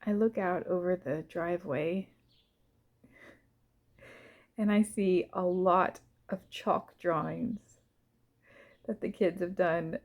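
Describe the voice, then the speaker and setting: A woman gently leading a guided visualization in the first person, soft and unhurried, pausing between short phrases. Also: on the low side, fairly even intonation.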